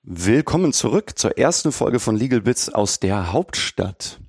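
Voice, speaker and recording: A man hosting a podcast in a relaxed, chatty way, with fillers and easy asides. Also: on the low side, unhurried, noisy background. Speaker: podcast host. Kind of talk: casual podcast conversation.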